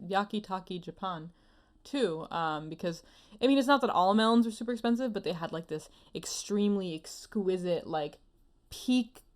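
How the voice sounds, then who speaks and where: A woman with an American accent talking casually as an anime panelist, reasoning aloud in a reflective way. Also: lowish voice. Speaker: podcast panelist. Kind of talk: casual chat about anime.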